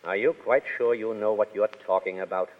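An actor playing a stern, menacing Foreign Legion adjutant in a 1930s radio drama, speaking in a clipped, formal, authoritarian way. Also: male voice, on the low side, steady tone, brisk, close to an everyday voice. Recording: muffled 1930s radio broadcast, hall reverb.

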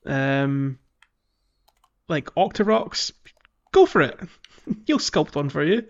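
A man's voice: fairly high pitch, big pitch swings, slow pace. A man chatting casually as the co-host of a podcast. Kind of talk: casual chat. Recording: dull lo-fi audio, faint music.

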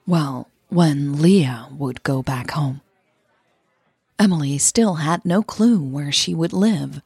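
A woman's voice: low-pitched, takes it slowly, vocal fry, a little mellow, breathy edge, no echo, studio quality. A woman who is a native Dutch speaker, reading a scripted romance story as its narrator. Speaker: writer and narrator of a serialized audio story.

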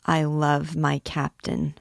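A woman's voice: low-pitched, completely flat delivery, takes it slowly, vocal fry, dry recording, full character voice. Woman acting a lovestruck young girl, naive, tender, childlike, pleading, audiobook dialogue, dry recording. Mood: adoring.

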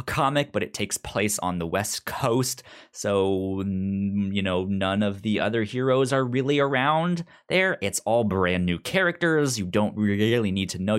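A man co-hosting a podcast, explaining stories in casual conversation, often repeating words and syllables as he goes. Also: on the low side. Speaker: comics podcast co-host. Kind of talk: casual chat, retelling and explaining comic plots.

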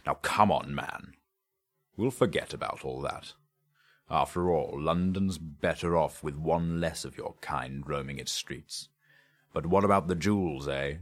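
A man's voice: a bass voice, a little slow. A man voicing a stern, impatient and sceptical police inspector in an audiobook story, with a brusque, scornful way of questioning. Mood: sceptical, accusing.

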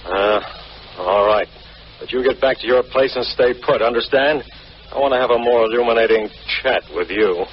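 An actor playing a commanding, sarcastic police detective with dry delivery in vintage radio drama. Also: male voice, mid-range voice, moderate pace, slight background noise, lightly acted. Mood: stern. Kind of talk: 1940s-50s radio detective drama acting. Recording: muffled old radio recording.

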